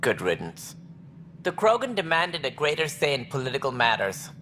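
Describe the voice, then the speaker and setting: An actor playing a stern, proud alien administrator in a video game, speaking in formal, measured, clipped phrases. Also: male voice, medium pitch, wildly swooping pitch, pushed and bright, slight background noise. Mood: contemptuous. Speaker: alien colony director.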